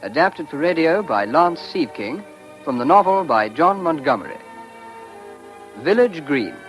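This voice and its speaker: A man's voice: fairly high pitch, very animated, unhurried, low-quality recording. A man reading the opening announcement of a radio drama as its announcer. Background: music.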